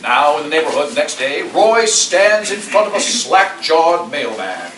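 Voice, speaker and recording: A man's voice: a little high, sing-song, a little slow. A man narrating the stage directions of a screenplay at a live staged table read. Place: live staged reading at a party. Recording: echoey room, distant mic, clearly noisy.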